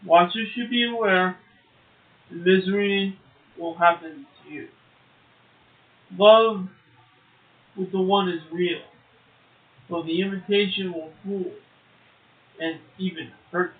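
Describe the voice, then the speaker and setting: High-voiced man reading poetry, echoey line. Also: fairly even intonation, slow, pauses often. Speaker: poetry show co-host and poet. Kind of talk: poetry reading and brief casual remarks. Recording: roomy echo, narrow phone-like sound.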